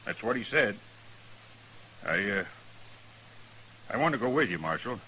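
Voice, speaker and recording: A man's voice: on the low side, sing-song, unhurried, pushed and bright, full character voice, poor audio. A man acting an earnest, plain-spoken frontier stagecoach driver with a rural American accent, rustic and direct, in a 1950s radio western. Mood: troubled, determined. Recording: faintly hissy old radio recording.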